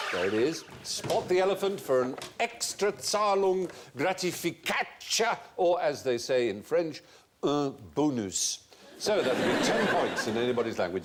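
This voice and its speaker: A man hosting a comic quiz show, playful and theatrical, tossing in mock foreign phrases. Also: a little high. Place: TV studio with a live audience. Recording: loud studio audience laughter and noise.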